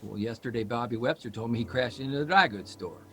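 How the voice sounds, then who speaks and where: Actor doing a restless writer guy in an audio drama, rambly and unsure of himself, mid-pitched, bit of music behind. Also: fairly bright tone, natural voice. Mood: fretful. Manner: halting, rambling, self-questioning. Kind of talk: live audio drama reading.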